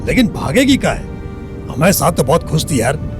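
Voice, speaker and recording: A man's voice: fairly high pitch, big pitch swings, fairly quick. A man playing a hot-tempered, coarse small-town bully in an audio drama. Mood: brooding, aggrieved. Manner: coarse, rustic, swearing speech. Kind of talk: Hindi audio drama acting. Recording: music bed under the voice.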